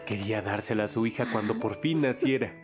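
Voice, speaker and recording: A man voicing a gentle, proud young father in a cartoon dubbed in Latin American Spanish. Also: lowish voice, a little fast, natural voice. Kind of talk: children's animation dub. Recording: music behind the voice.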